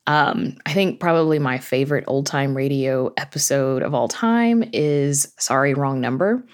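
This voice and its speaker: Female interview guest, low register, conversational and chatty, thoughtful explainer. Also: moderate pace, darkish tone, clean tone. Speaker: interview guest, horror fiction podcast creator.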